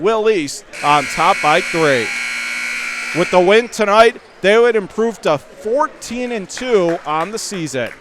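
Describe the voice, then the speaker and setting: A man calling live basketball play-by-play for radio in clipped, urgent phrases that rise into excited calls. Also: high-pitched. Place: indoor high school basketball gym. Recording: game crowd and gym noise behind.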